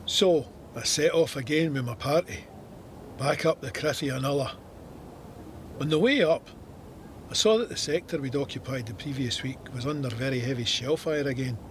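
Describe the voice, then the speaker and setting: A man's voice: medium pitch, moderate pace, a little hiss or hum, close to an everyday voice. An actor with a Scottish accent playing a wry, plain-spoken soldier narrating his war memoir with dry understatement. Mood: matter-of-fact recollection.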